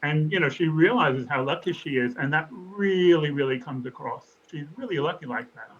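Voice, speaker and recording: A man's voice: on the high side, normal speed, fairly bright tone, dull audio. A man hosting an interview, chatty and enthusiastic, asking leading questions. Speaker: interviewer, show host.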